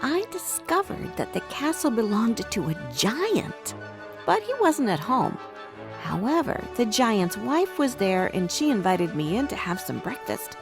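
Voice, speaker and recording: Woman, children's bedtime narrator, expressive, lively swooping intonation, fairly high pitch, soft music behind. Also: measured pace. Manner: expressive storytelling for toddlers. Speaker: children's storyteller. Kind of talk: scripted bedtime story narration in a young boy's first person.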